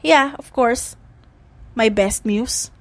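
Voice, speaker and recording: A brash, protective young hothead from an audiobook romance, voiced by a woman. The voice sits high for him and sounds dry and close. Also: very slow, no echo. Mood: pleased. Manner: threatening, brash, mixes in English. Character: hot-headed, protective young man in love. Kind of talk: audiobook drama.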